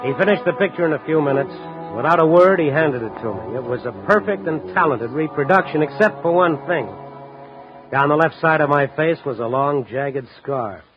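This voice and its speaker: An American actor playing a shrewd, wry newspaper reporter in a 1950s radio drama, speaking in a dry, measured, hard-boiled way. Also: male voice, fairly high pitch, lively intonation, lightly acted. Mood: brooding, wry. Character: shrewd, wry night-beat newspaper reporter, adult man. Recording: dull vintage radio recording with music.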